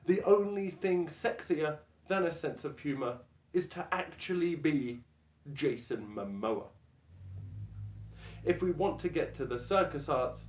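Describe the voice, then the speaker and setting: A man performing a stand-up routine as a dramatic reading, deadpan and self-deprecating, with deliberate pauses. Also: mid-range voice. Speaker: stand-up comedian. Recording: roomy echo with faint background noise.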